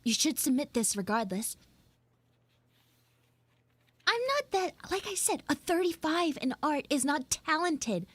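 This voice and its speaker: A woman voicing a flustered young tsundere schoolgirl in a live audio roleplay, halting, awkward and defensive. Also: high-pitched, expressive, fairly bright tone, dry recording, pitched above the performer's own voice. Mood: awkward, boastful. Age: young, school student. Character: flustered tsundere frog girl, failing art student.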